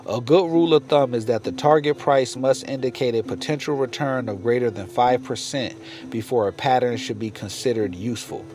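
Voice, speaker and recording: A man's voice: mid-range voice, darkish tone. A man hosting an explanatory lesson, reading material aloud and commenting informally, emphatically repeating key phrases and speaking straight to viewers. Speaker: trading educator, channel host.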